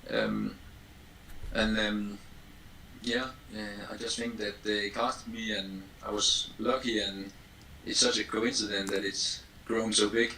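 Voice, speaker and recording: A man in his twenties from Denmark with a Danish accent, answering interview questions casually in hesitant, halting English as a non-native speaker. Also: on the low side, sharp tone. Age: 20s. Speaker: interview guest. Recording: roomy, distant mic with faint noise.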